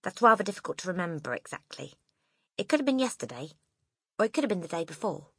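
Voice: mid-range voice, very animated, fast, a bit bright, slightly rough, dry recording, clean studio sound, voice pushed up, heavily acted. A storybook narrator voicing a small, plucky schoolboy who answers bravely and with polite cheek. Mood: cheeky, earnest.

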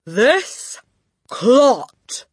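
A woman voicing a brutal, tyrannical female headmistress who shouts slowly and booms. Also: very animated, husky, no room sound, full character voice. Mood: furious. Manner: shouting, slow and booming.

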